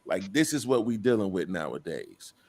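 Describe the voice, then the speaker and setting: A man's voice: deep voice, very animated, normal speed, darkish tone. A middle-aged man on a podcast panel, talking bluntly and profanely in a preachy rant.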